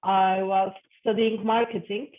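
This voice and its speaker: A woman of about thirty-two with a Turkish accent and a mid-pitched voice. She speaks as an interview guest, giving an earnest personal account in deliberately chosen phrases. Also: flat delivery, phone-quality sound. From Istanbul, Turkey. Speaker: guest, psychologist and yoga teacher.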